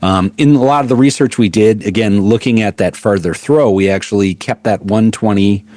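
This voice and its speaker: Low-voiced male product rep at a trade show, chatty and halting, dry sound. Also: a little mellow, no echo. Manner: explanatory, many fillers and restarts. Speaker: product specialist. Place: busy trade show floor.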